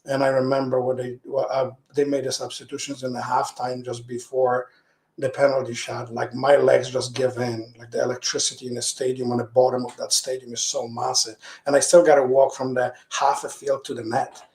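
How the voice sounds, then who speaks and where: A man's voice: medium pitch, level tone, darkish tone. Man in an echoey room. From Poland. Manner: earnest, halting, restarts phrases while telling stories. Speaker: interview guest, former pro goalkeeper. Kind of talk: interview answers.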